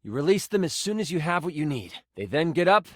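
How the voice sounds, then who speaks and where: Theatrical game master, lively and dry-recorded. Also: male voice, fairly high pitch, fast, no echo. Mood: storytelling. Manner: theatrical, storytelling in second person. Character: playful, theatrical male dungeon master narrating a quest. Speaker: game master narrator character. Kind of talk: improvised-sounding tabletop game narration.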